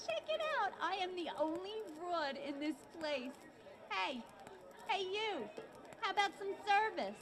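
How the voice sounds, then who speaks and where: A woman's voice: very high voice, sing-song, a little slow, a crowd in the background, heavily acted. A woman playing a brash, foul-mouthed, bossy broad with slangy tough talk in an old-time radio comedy style. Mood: brash, mocking. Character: brash, foul-mouthed woman sweetly bossing her monstrous lover. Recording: noisy recording.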